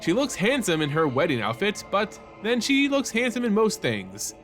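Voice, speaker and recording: A man with a fairly high voice narrates like a storyteller, measured and dramatic. His pitch moves around a great deal from phrase to phrase. Music plays underneath. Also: huge pitch swings, a bit bright. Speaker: host and story narrator of a fan lore channel. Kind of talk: scripted narration, storytelling read aloud.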